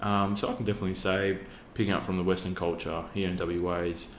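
A laid-back Australian man with a very low voice, chatting as a podcast guest with many ums and pauses. His speech echoes around the room, with a faint hiss underneath. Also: little pitch movement. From Western Australia. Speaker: podcast guest, youth worker. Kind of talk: casual interview talk.

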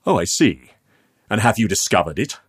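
A man voicing a commanding, menacing older aristocrat, crisp and condescending, with a gravelly edge, in an audiobook story. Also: fairly low pitch, very animated, normal speed. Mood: crisp, mocking.

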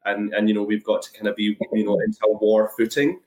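A man with a Scottish accent explaining things thoughtfully on a webinar, with measured, hesitant phrasing. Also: lowish voice, monotone, darkish tone. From Scotland. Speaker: guest, anaesthesiology and intensive care resident. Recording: echoey webinar call.

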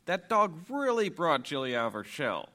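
Casting: actor for fond, playful suburban dog dad in an audio comedy, fairly high male voice, reassuring. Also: huge pitch swings, normal speed, bright, forceful tone, full character voice. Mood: affectionate, reassuring. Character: easygoing, affectionate suburban dad and dog owner. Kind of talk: comic audio theater acting.

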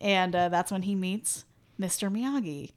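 A woman from the American Midwest co-hosting a casual movie podcast, chatty and quick to laugh. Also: mid-range voice. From Midwestern United States. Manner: chatty, laughs often, self-deprecating. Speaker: podcast co-host. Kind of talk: casual chat.

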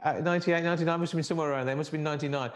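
A man's voice: fairly high pitch, fairly even intonation, rapid-fire. A man hosting a radio show, chatting with guests and plugging upcoming gigs in an upbeat way. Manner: upbeat, plugs events and phone numbers. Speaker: radio host. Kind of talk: radio chat and promotion.

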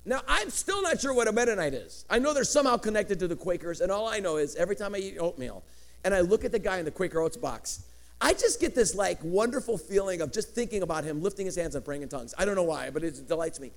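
A middle-aged male preacher from Chicago, raised speaking Greek, delivers a sermon full of rising and falling inflections and quick joking asides. His voice sits high for a man. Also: animated, creaky. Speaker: pastor.

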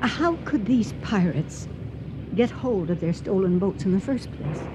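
An actress playing an earnest, puzzled elderly wife with a questioning manner in a radio drama. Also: female voice, medium pitch, animated, a little slow, lightly acted. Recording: dull radio recording with noisy storm ambience.

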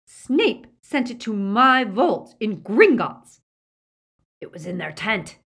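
A woman voicing a savage, menacing male werewolf with a rasping snarl, in an audiobook story. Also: lowish voice, normal speed, pushed and bright, exaggerated voice. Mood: snarling, indignant.

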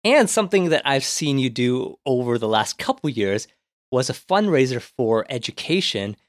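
A man's voice: medium pitch, sing-song, normal speed, no echo, studio quality. A man hosting a podcast, informal and affirming as he interviews in a casual way. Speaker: podcast host.

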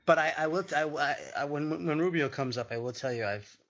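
A man's voice: a little high, brisk, fairly bright tone, dull audio. A man co-hosting a podcast, dry and sardonic as he mocks a debate. He sounds worn out by it all. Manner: sardonic, weary. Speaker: podcast co-host. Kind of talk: crude live commentary on a debate.

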